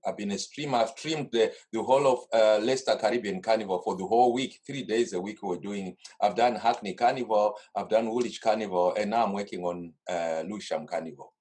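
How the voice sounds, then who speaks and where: A man's voice: fairly low pitch, brisk, few pauses, darkish tone. A middle-aged man from Zimbabwe with a Zimbabwean accent, talking casually and at length in an interview over a video call. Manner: rambling storytelling, many restarts. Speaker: carnival organiser, guest. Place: home studio desk.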